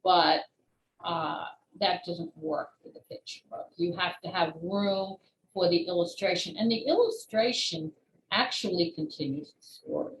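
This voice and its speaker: A woman's voice: fairly low pitch, unhurried. A woman talking as an interview guest, an author explaining her work in careful detail. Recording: roomy echo, faint background noise.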